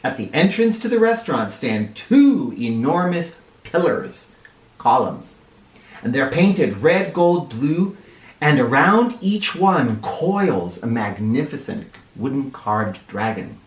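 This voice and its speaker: A man reading a picture book aloud to children in an expressive teacher's manner, pausing to explain. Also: medium pitch. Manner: animated, with explanatory asides. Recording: roomy sound, distant microphone.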